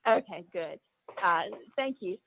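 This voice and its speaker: A woman's voice: on the low side, animated, a little slow, husky. A woman calling in to a public meeting, speaking politely and without a script. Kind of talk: polite unscripted phone comments. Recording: tinny dry phone line.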